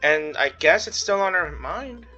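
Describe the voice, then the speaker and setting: A woman's voice: lowish voice, expressive, a little slow. A woman giving casual gameplay commentary with wry, offhand asides. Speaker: gaming video creator. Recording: dull lo-fi microphone, faint music behind. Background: faint game music.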